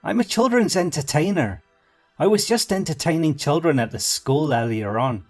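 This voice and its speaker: Brief: man putting on a little man, high and raspy, easily offended, pitch leaping about. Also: animated, soft background music. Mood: indignant. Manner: indignant, quick to take offense. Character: tiny touchy Irish man caught in a trap. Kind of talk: storybook character voice.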